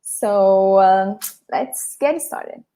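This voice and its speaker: A woman's voice: medium pitch, extremely slow, mellow. A Brazilian woman with a Brazilian Portuguese accent, hosting a casual live class in English and talking straight to her viewers. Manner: addresses viewers directly, restarts often. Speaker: host and Portuguese teacher.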